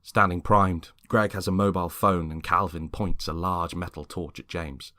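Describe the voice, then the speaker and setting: A man's voice: low-pitched, fairly even intonation, normal speed, pushed and bright, no room sound, clean studio sound. A man reading a scripted story aloud as a dramatic narrator. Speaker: story reader.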